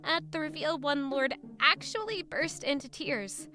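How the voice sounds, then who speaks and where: A woman's voice: sits high, expressive, measured pace, music in the background. An actress playing a proud, weary traveling woman who narrates her hardships formally in a fantasy audio drama. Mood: aggrieved. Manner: formal, articulate. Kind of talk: first-person dramatic narration.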